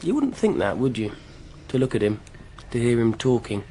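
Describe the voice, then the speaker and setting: An actor playing an eager junior policeman in a radio drama, speaking casually and hesitantly in a low-key mutter. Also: very animated, normal speed, warm tone, slight background noise, natural voice. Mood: restless, muttered. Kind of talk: naturalistic radio drama acting.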